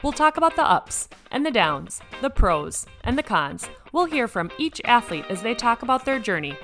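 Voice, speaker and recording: Bilingual woman podcast host, higher voice. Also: big pitch swings, unhurried, over music. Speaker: podcast host, former basketball player. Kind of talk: scripted intro then casual interview.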